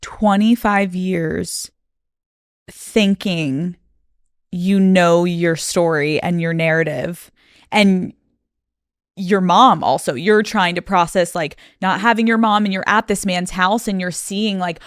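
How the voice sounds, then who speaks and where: A woman's voice: on the low side, slow pace, clear, resonant tone, no echo, clean studio sound. A woman hosting an interview, summing up her guest's story back to her and then asking a follow-up question. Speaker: host.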